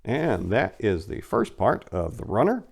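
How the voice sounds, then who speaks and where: Casting: male narrator, lowish pitch, expressive and intense, for dramatic crime-story readings. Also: a little slow, gravelly, a little hiss or hum.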